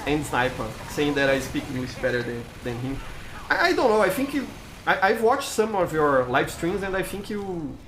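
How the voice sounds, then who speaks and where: Brazilian-accented man, lively pitch, halting speech. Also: poor audio. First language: Brazilian Portuguese. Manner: halting, stammers and repeats words. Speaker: live-streamer hosting gameplay. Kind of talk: casual live-stream chat while gaming.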